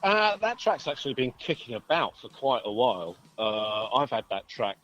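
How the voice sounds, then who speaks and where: Male musician guest, medium pitch, hesitant and rambling, casual interview, slightly dull lo-fi sound. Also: lively intonation, moderate pace, a bit bright.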